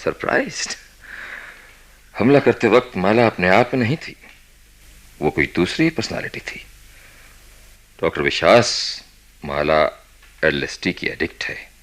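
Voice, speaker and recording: Radio drama: intense experimenting doctor, very low, sharp-edged voice, authoritative and probing. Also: male voice, lively intonation. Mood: intense, revelatory. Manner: deliberate, probing, commanding, dramatic pauses. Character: authoritative, intense senior psychiatrist running experiments. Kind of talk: radio play acting, Hindi mixed with English.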